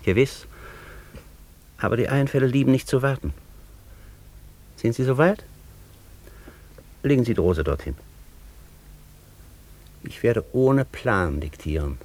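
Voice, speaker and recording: An actor playing an exalted master poet and thinker in a German radio drama, speaking in a solemn, rhapsodic way with weighty pauses. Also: male voice, medium pitch, animated, darkish tone. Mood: brisk, commanding. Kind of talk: German radio drama acting, literary declamation.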